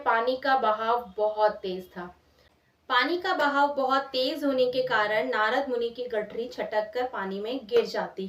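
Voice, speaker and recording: A woman telling a moral story expressively in everyday, conversational words, repeating phrases for emphasis. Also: sits high. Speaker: storyteller, channel host. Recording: roomy echo, distant from mic.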